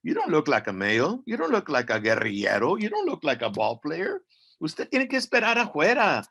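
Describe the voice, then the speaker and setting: A man's voice: on the high side, huge pitch swings, brisk, creaky, bright, forceful tone, slightly rough, pitched above the performer's own voice, exaggerated voice. A man voicing a bossy, suspicious male gatekeeper at the doors of the underworld. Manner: brusque, suspicious.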